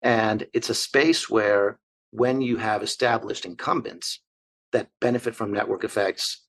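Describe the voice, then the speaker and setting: A man in his forties from Argentina, answering interview questions as an investor in long, measured, structured lists. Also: fairly low pitch, fairly even intonation, vocal fry, a bit bright. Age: 40s. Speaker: investor guest.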